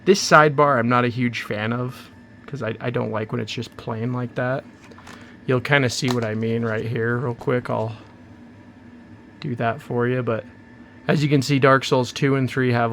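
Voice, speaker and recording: A man hosting his own channel, chatting casually and rambling through an unboxing, hoarse from a cold. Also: medium pitch, steady tone, measured pace, fairly bright tone, slight background noise. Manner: rambling, chatty, self-interrupting. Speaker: channel host.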